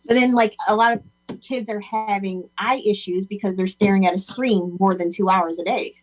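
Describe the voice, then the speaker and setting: A woman with a husky voice chatting casually as part of a group discussion. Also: mid-range voice, fairly even intonation, moderate pace, low-quality recording. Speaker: discussion participant. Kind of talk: casual chat.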